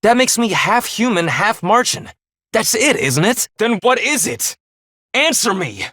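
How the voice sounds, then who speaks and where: A man's voice: high voice, very animated, unhurried, pushed and bright, no room sound, clean studio sound, heavily acted. A man voicing a stern, blunt young half-human leader in a video game, speaking in a clipped, formal, commanding way. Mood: shocked, demanding. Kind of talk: English video game voice acting.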